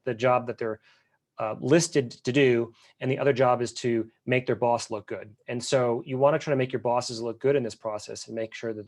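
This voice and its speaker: A man talks quickly on a webinar panel about finance, his voice sitting in a middle pitch. He is earnest and often restarts his phrases. It sounds like a video call. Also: darkish tone. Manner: fast, earnest, with repeated restarts.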